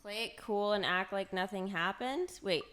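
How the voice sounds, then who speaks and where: A woman's voice: mid-range voice, normal speed, fairly bright tone. A woman streaming a video game, chatting casually and playfully as she reacts aloud and banters with viewers. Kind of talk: casual livestream chat over gameplay.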